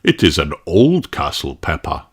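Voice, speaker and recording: A man's voice: rumbling low, very animated, normal speed, heavily acted. A man voicing a confident, easygoing father pig in a children's storybook reading. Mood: confident, reassuring.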